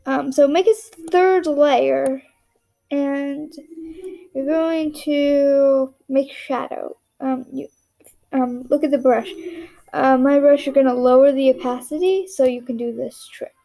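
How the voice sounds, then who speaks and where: A young woman narrating a casual drawing tutorial in a monotone, rambling way, often dropping to a whisper as she works. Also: sits high, slow pace, gravelly, poor audio. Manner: monotone, rambling, self-deprecating.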